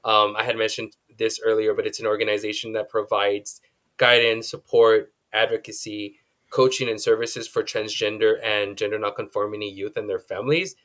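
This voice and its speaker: A man moderating a panel discussion, speaking in a measured, affirming way with frequent ums. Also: fairly low pitch, level tone, fairly bright tone.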